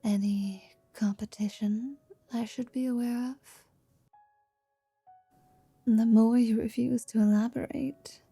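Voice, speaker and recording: A woman playing a confident, teasing half-vampire wizard in an intimate ASMR roleplay, speaking in a soft, languid whisper. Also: on the high side, creaky, husky, no echo, heavily acted.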